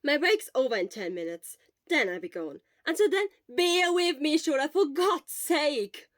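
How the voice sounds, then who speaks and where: A woman voicing an excitable young man, a worried friend who scolds and exclaims in exasperation, in an audio story. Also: high-pitched, unhurried, dry recording, clean studio sound, pitched above the performer's own voice. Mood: exasperated.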